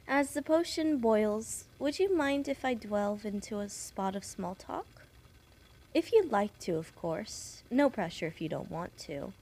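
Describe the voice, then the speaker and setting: A woman playing a kindly, chatty young witch who works as a nurse assistant in an audio roleplay, gentle, polite and a little flustered. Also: mid-range voice, gravelly. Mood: attentive, apologetic. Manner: gentle, polite, apologetic, slightly stammering. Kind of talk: audio roleplay addressed to the listener.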